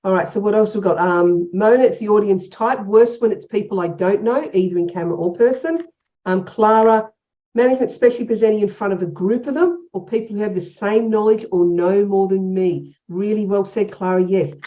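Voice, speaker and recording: Aussie woman coach on a muffled video call, mellow tone, lots of questions, encouraging. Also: medium pitch, fairly quick. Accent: Australian. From Australia. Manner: energetic, encouraging, asks many questions. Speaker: tapping coach leading an online workshop. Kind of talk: interactive online workshop teaching.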